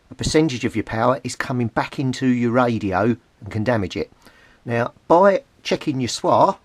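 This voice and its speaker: A man's voice: medium pitch, no room sound. A middle-aged British man giving an informal, plain-spoken hands-on demonstration as a hobbyist. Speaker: CB radio hobbyist presenting a tutorial. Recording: clearly noisy amateur home recording.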